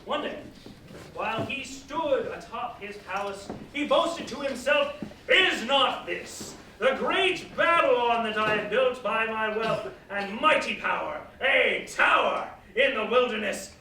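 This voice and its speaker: A man playing a defiant, devout captive storyteller in a theatrical staged reading, with earnest, oratorical, measured phrasing. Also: very high-pitched, animated, bright, forceful tone, a little hiss or hum, exaggerated voice. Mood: solemn storytelling. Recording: roomy, distant live stage recording.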